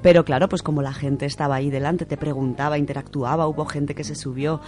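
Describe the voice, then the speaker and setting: A male podcast co-host with an accent from Spain and a slightly high voice. He chats casually and enthusiastically, with some music behind him. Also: fairly even intonation, quick pace, darkish tone. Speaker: podcast co-host presenting a literature section. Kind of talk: casual radio chat.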